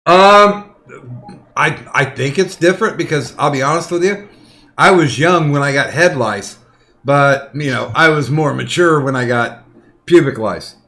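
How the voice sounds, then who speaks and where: A man in crude casual podcast banter who hesitates a lot, with frequent ums and restarts, and delivers the jokes deadpan. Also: a little high, a little slow.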